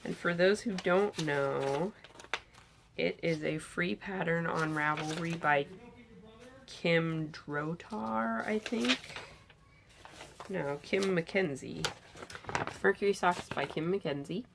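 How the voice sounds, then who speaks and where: A woman in her thirties, a little hoarse from a cold, chatting enthusiastically about her knitting projects in a bedroom, with many filler sounds. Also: fairly low pitch, slow, long pauses, background noise. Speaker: knitting podcast host. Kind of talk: casual show-and-tell chat. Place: bedroom with pillows, indoors.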